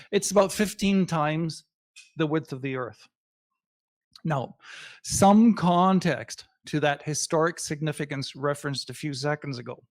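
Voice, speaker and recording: A man whose first language is not English, giving an astronomy slide presentation to a club audience with measured, emphatic pacing and pauses. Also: a little high. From Canada.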